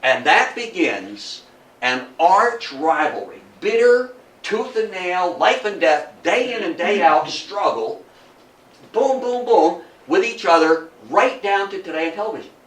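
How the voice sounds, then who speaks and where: A man's voice: high voice, very animated, measured pace, a bit bright. A man with an American accent giving a history lecture to an audience, speaking emphatically, repeating phrases for effect and putting questions to listeners. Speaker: guest lecturer. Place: indoor room in front of an audience. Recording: roomy, distant mic, faint background noise.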